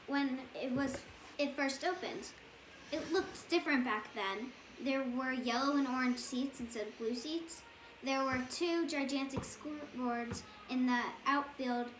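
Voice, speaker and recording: High-voiced woman reading aloud in an echoey room. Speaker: storybook reader. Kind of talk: reading a bedtime story aloud. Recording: echoey room with faint background noise.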